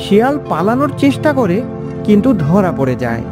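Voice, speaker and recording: A woman's voice: medium pitch, very animated, moderate pace, over music. A woman narrating a scripted children's story as the storyteller.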